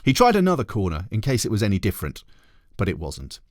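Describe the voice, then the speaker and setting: A man reading a children's story aloud like a teacher, with expressive, dramatic emphasis. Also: on the low side, fast, no room sound, studio quality. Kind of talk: animated storybook narration.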